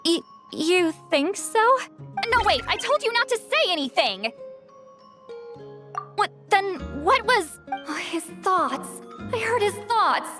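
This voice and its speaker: A woman's voice: very high-pitched, big pitch swings, takes it slowly, pauses often, a bit bright, soft background music, exaggerated voice. An actress playing a proud, easily embarrassed young woman in a video game, huffy, dramatic and prone to stammering.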